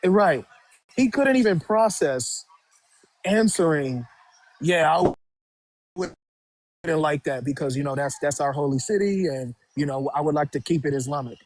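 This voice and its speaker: Combative man, higher voice. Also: lively intonation, measured pace, long pauses, dry recording, rough recording. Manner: combative, interrupting, repeats "dude" and "bro". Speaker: livestream host. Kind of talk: heated debate.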